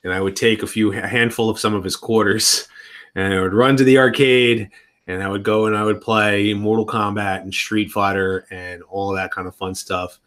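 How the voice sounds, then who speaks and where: A man's voice: on the low side, steady tone, moderate pace, a bit bright. A man in his thirties chatting casually on a live stream from home, rambling and often restarting his sentences. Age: 30s.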